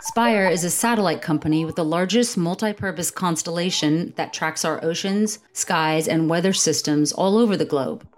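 A woman co-hosting a podcast reads the episode introduction and the guest's background in a clear, upbeat way. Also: on the low side, moderate pace, few pauses. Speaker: podcast co-host.

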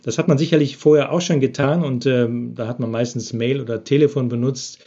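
A man's voice: medium pitch, steady tone, fairly quick, dark tone, husky, highs cut off. A man being interviewed from abroad about business, answering with many hesitant filler sounds over a remote connection. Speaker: business expert interviewed from Japan.

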